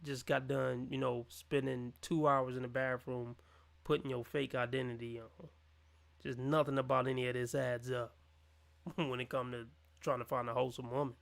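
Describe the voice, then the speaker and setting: A man's voice: mid-range voice, flat delivery, moderate pace, creaky. A man reacting on a livestream to a dating show, mocking and scornful as he rants over the clip. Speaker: livestream commentator. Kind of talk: reaction commentary.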